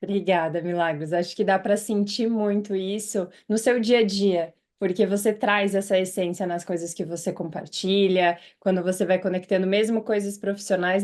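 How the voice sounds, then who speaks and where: A woman hosting an interview channel, upbeat and effusive as she introduces her guest. Her voice sits at a middle pitch, and her phrasing is polished and inspirational. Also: darkish tone.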